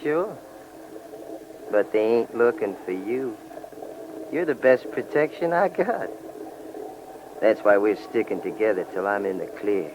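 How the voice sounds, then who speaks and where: Tough radio thief over heavy noise. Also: male voice, lowish voice, big pitch swings, a little slow, long pauses, highs cut off. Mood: cool, cocky. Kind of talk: 1940s radio acting. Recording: noisy old radio drama, engine-like background.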